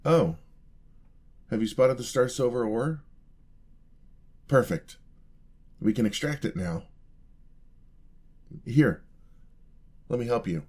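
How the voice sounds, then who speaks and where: Man playing a sweet, slow-spoken young paladin. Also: medium pitch, expressive. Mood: eager. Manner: polite, earnest, gently encouraging. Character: sweet, clumsy, eager young male paladin apprentice. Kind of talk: fantasy ASMR roleplay, speaking to the listener.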